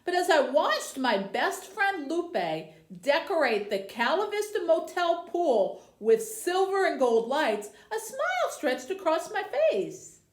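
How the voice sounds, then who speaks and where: A woman presenting and reading aloud as a librarian for tweens, in a deliberate, presentational way. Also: extremely high pitch, big pitch swings. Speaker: youth services librarian hosting a book program. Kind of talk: book talk and read-aloud for tweens.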